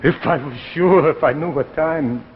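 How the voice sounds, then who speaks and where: An actor playing an anxious, earnest husband in a 1950s radio drama, halting and desperate. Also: male voice, a little high, a little hiss or hum, lightly acted. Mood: anxious, distracted. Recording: dull old radio recording, faint music.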